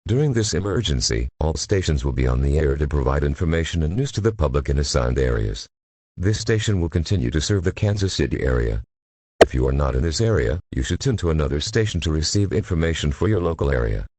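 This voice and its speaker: A man with an extremely low, slightly rough voice delivers a scripted emergency alert. He is solemn and formal, measured and impersonal throughout. The sound is dry and close. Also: animated, darkish tone, no echo.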